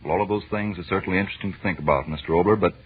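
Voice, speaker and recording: A man's voice: low voice, rapid-fire, slight background noise. A man working as a radio announcer, putting scripted questions to the host of a show. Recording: muffled old radio broadcast.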